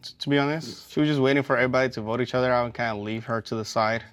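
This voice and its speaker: A man from Guatemala with a Guatemalan Spanish accent, speaking in a relaxed, friendly way as a panel contestant. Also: mid-range voice, rapid-fire, smooth, no echo.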